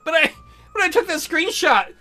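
Let's play host: woman, bright and animated, crude teasing humour, music behind her. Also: very high-pitched, moderate pace. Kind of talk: joking game commentary.

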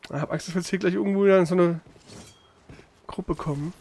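Male let's play streamer, high voice with gravelly creak, slangy casual commentary over music. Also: steady tone, fast talker, darkish tone, slight background noise. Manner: colloquial, slangy, mild swearing. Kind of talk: casual gameplay commentary and chat.

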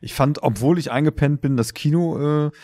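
A man's voice: on the high side, normal speed, warm tone, no room sound, clean studio sound. A man co-hosting a podcast in casual chat, searching for words as he goes. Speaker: podcast co-host.

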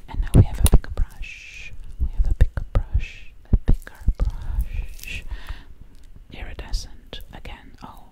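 A woman hosting ASMR in a close, breathy whisper, soothingly repeating her phrases. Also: poor audio. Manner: slow, soothing repetition of phrases. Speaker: ASMR video host. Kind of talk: ASMR roleplay pampering. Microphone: close microphone.

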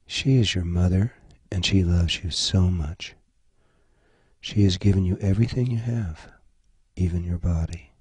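A man leading a guided meditation, giving gentle, soothing instructions in short phrases. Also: low voice, measured pace, slightly rough, dry recording. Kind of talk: slow guided meditation narration.

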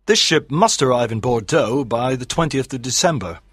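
A man's voice: mid-range voice, lively intonation, moderate pace, breathy edge, no room sound. A man playing a brusque, matter-of-fact seafaring and travelling man in an audiobook drama. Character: gruff male ship's captain and other travel men.

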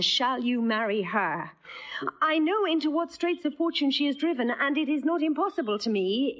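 A woman's voice: a little high, lightly acted. An actress playing a witty, playful young noblewoman in disguise, in classical stage verse. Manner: quick, teasing, bold. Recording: dull lo-fi audio.

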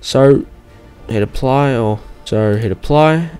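A young man giving an informal, slightly hesitant step-by-step software walkthrough as a tutorial host. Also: medium pitch, slow pace, clean tone, soft background music. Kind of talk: casual software screen-recording walkthrough.

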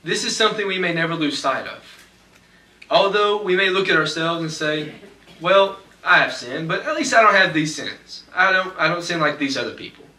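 A man's voice: a little high, lively intonation, sharp tone, distant mic. Young man preaching in an echoey church. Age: young adult. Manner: measured, slows for scripture reading. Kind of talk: sermon. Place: church sanctuary at a pulpit. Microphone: pulpit mic, far camera.

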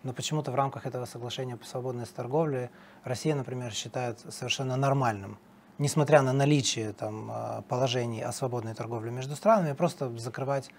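Fast-talking gravelly man in studio. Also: medium pitch, slight background noise. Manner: emphatic, many fillers and restarts. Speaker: political analyst guest. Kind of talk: studio interview. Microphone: lapel.

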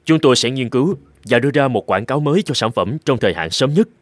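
Man acting an animated, earnest agency boss. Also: mid-range voice, fast talker, dry recording, natural voice. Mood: earnest, persuasive. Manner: polite, persuasive. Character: earnest, persuasive young-company boss, loyal to his trainee. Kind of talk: dubbed cartoon dialogue.

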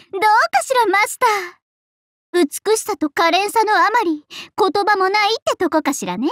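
Self-admiring young idol princess in an anime game: extremely high, lively, theatrical, playfully haughty. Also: female voice, dry recording, heavily acted. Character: vain, bubbly young princess idol singer, self-styled Cinderella. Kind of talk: Japanese anime mobile game voice acting.

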